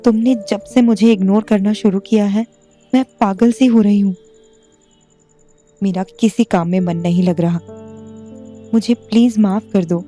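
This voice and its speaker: Woman doing a worried young wife, pleading, mellow dark voice, music under it. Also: medium pitch, steady tone, a little slow, long pauses, lightly acted. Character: anxious young wife Ranu, remorseful. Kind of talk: audio drama acting.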